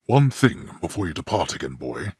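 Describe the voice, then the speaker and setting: An imperious god of the dead for a video game. His voice is extremely low and thick with rasp, moving in big swings as he hands down haughty, old-fashioned rebukes. The sound is dry and close, every word weighted with disdain. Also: big pitch swings, normal speed, darkish tone, dry recording, full character voice. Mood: grave, subdued. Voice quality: gravelly, booming bass. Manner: formal, haughty, archaic diction, clipped disapproval. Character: stern, imperious, disdainful god of the underworld, a father. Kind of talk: theatrical video game voice acting.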